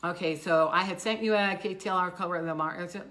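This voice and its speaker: An older woman with a loud, bright, forceful voice co-hosts a casual live stream. She talks in a rambling, chatty way, keeps cutting herself off and starting again, and her speech sits a little low. The sound comes from a phone camera with no clip-on mic. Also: quick pace. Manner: rambling, self-interrupting, chatty. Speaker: co-host.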